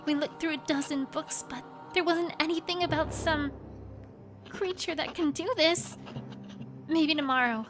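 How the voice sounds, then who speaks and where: A woman's voice: high-pitched, unhurried. A woman voicing an anxious young female unicorn scholar pony, reading her diary in an earnest, fretful first-person way. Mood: frightened. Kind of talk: journal read aloud as a fan audiobook. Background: music.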